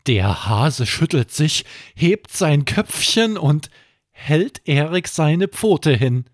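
A man hosting and narrating a scripted children's bedtime story, measured and suspenseful, with deliberate pauses. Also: fairly high pitch, big pitch swings, no room sound, clean studio sound. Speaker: podcast host and storyteller.

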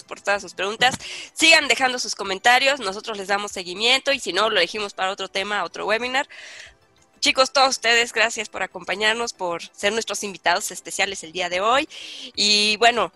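A woman's voice: mid-range voice, a little fast, pushed and bright, soft background music. A woman hosting a webinar as a casual group chat, playful and teasing in manner. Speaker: webinar host.

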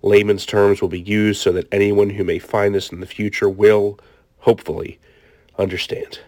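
A man's voice: fairly low pitch, level tone, darkish tone, no echo, clean studio sound, natural voice. A man playing a self-justifying research doctor in his late forties, formally reading a diary in an audiobook. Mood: clinical, explanatory. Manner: formal, measured explaining. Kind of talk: scripted audiobook diary monologue.

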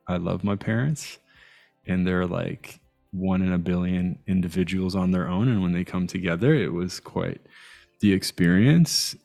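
A man's voice: sits low, unhurried, vocal fry, darkish tone, faint music behind. A man from Iran who grew up in Canada, speaking as an interview guest in a thoughtful, searching way with hesitant phrasing. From Iran, raised in Canada. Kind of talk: reflective conversation.

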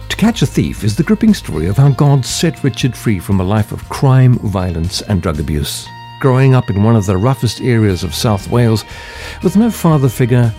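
A male talk show host reads his scripted introduction at an even, measured pace. His pitch rises and falls a lot, and his tone is mellow and soft. Faint music sits under his voice.

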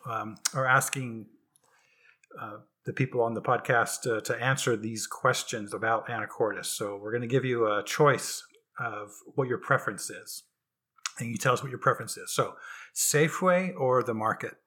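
Bright, husky-voiced male podcast interviewer. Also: medium pitch, normal speed. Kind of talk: casual interview questions.

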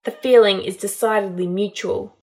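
A woman's voice: medium pitch, steady tone, normal speed, darkish tone, natural voice. A woman voicing a disdainful, irritated young wizard man in an audiobook, curt, taunting and snarling. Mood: cold, taunting. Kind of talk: dramatised audiobook narration.

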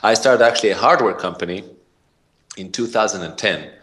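A man's voice: lowish voice, brisk, a bit bright. A man from Israel with an Israeli accent, a podcast guest telling his story in a rambling way, full of fillers and restarts. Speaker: podcast guest, startup founder. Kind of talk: casual interview talk.

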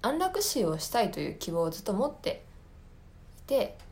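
A woman's voice: mid-range voice, big pitch swings, normal speed, a little mellow. A woman podcast host talking casually on her own, explaining things thoughtfully and searching for her words as she goes. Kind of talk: casual solo recommendation talk.

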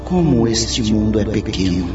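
A man's voice: fairly low pitch, lively intonation, normal speed, echoey room, distant mic, music in the background, close to an everyday voice. A man playing a curious young male spirit who questions his grandmother in a radio soap. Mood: curious, surprised. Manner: inquisitive. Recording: muffled lo-fi radio drama.

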